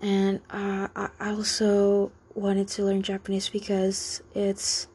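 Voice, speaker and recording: A young woman with an Indonesian accent, reading practice speaking-exam questions aloud and answering them in English, halting often with fillers and restarts. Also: medium pitch, completely flat delivery, a little mellow, breathy edge. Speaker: student taking a speaking exam.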